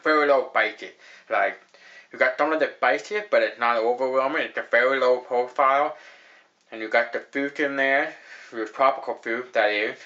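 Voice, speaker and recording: A man's voice: mid-range voice, normal speed, bright, forceful tone, lo-fi sound. A young man hosting a casual beer review at a table in a small room, rambling with lots of fillers and repeating "actually". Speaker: host of a beer review show.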